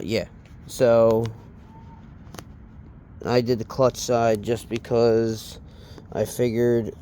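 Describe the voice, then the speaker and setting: A man giving a casual, unscripted hands-on tutorial, speaking haltingly and trailing off as he works with his hands. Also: mid-range voice, a little mellow, slight background noise. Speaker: DIY installation demonstrator.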